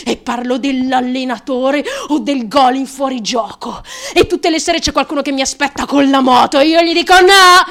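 A woman's voice: high voice, moderate pace. An actress playing an unstable, anguished young woman who craves fame. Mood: manic, dreamy. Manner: volatile, swinging between hushed confiding and wailing. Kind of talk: theatrical stream-of-consciousness radio monologue.

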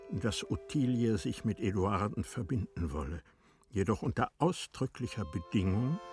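A man narrating literary radio drama from a script, with deliberate, dramatic phrasing. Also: on the low side.

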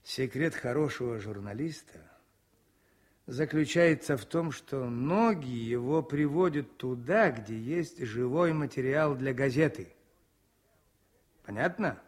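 Senior wartime commissar, slow and husky, fatherly authority, Soviet radio drama. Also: mid-range voice, lively intonation. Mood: measured, instructive. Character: measured, fatherly senior army commissar and newspaper editor.

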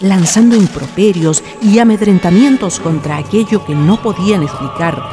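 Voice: mid-range voice, normal speed. A narrator reading a horror story in a formal, ornate literary style. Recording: music behind the voice.

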